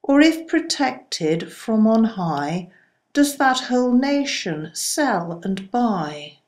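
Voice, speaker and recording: A woman reciting poetry in a solemn style, with measured, deliberate phrasing. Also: on the low side, a little mellow. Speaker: poetry reader.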